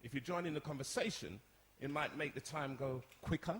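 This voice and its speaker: A man's voice: fairly high pitch, fairly quick, a bit bright, slight background noise, lightly acted. A man playing an exasperated, outspoken young older brother in a radio drama, chiding and emphatic. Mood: chatty. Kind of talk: radio soap acting before a live audience. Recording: echoey hall, long reverb.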